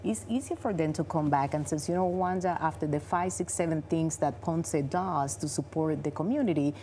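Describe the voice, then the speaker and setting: Low-voiced Dominican-accented businesswoman. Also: moderate pace, mellow, slight background noise. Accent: Dominican Spanish. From Dominican Republic. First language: Spanish. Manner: earnest and explanatory, non-native grammar. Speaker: bank vice president, guest. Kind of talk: interview answers.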